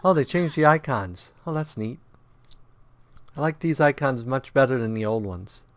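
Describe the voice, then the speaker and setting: A man's voice: medium pitch, normal speed, no echo. A man with a stuffed-up nose and slightly sore throat, casually commenting on his game as he plays and humming idly through menus. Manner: hums idly while navigating menus; thinking aloud, relaxed, rambling. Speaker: let's play host.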